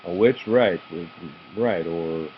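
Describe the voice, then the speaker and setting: A man reading a children's story aloud in an animated style. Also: low voice, takes it slowly. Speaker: storybook reader. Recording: dull home recording, faint noise.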